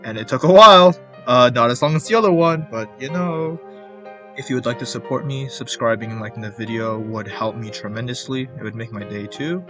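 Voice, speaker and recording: Rambling, self-mocking young man. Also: mid-range voice. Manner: rambling, self-deprecating, jokey asides. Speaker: YouTuber. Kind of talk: casual vlog narration.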